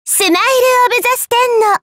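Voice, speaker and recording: A woman's voice: very high voice, very fast, a bit bright, no room sound, studio quality. An actress playing a dainty, girlish goddess in an anime game, elegant, coy and teasing, with polite ladylike phrasing. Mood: sweetly confident. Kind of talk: anime mobile game battle voice lines. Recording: game audio with music behind.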